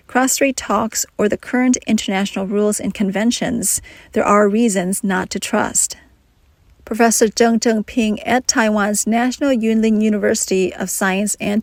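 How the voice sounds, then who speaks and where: A woman narrating a news report in a measured, scripted reading. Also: mid-range voice, darkish tone, no echo.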